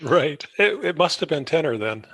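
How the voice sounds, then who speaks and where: Male podcast host, slightly high voice, wordy and wry interviewer, dry studio-like sound. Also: sing-song, moderate pace, fairly bright tone, dry recording. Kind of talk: conversational interviewing.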